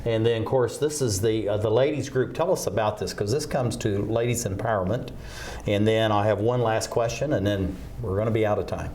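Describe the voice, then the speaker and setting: Low-voiced enthusiastic male TV host. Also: fairly quick, darkish tone, slight background noise. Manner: enthusiastic, lots of fillers. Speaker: TV talk show host. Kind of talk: interviewing a guest.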